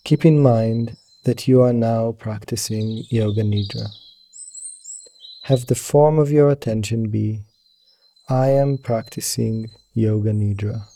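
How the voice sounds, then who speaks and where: Male meditation guide, very mellow and dark with creaky low edges, gentle and soothing delivery. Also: slow pace. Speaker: meditation teacher guiding yoga nidra.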